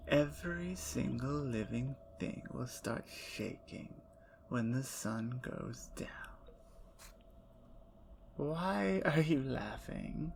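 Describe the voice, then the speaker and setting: A woman plays a captured female vampire in an ASMR roleplay, playful and menacing. She taunts and teases in soft whispers, with a gravelly edge and an unhurried pace. A faint hiss sits under the recording. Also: extremely low pitch, full character voice. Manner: teasing, taunting.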